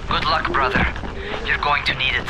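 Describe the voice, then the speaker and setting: Actor as young male lookout, loud background noise. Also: medium pitch, flat delivery, moderate pace, lightly acted. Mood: wry, friendly. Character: cautious young male survivor, brotherly lookout. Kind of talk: video game cutscene acting.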